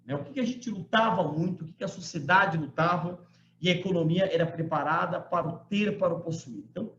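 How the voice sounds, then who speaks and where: A man in his forties delivers a law lecture over a video call, talking conversationally and tossing in little tag questions. His voice sits a bit high. The sound is roomy and somewhat muffled, with faint ambience underneath. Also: brisk, gravelly, a bit bright, a little hiss or hum. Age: 40s. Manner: conversational, frequent tag questions, emphatic quoting. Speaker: law professor giving a lecture. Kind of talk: online lecture to students. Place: home room. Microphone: computer mic on a video call.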